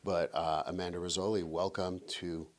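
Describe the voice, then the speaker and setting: A very low-voiced man from Quebec hosts a podcast in a loose, chatty way. He teases his guest and often restarts his sentences partway through. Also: little pitch movement, moderate pace. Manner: joking, teasing, with hesitant restarts. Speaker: podcast host. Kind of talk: casual podcast interview.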